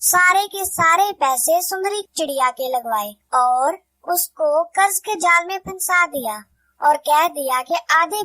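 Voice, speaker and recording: A woman's voice: high-pitched, bright, forceful tone, no room sound. A woman narrating a cartoon story in a sing-song storytelling manner.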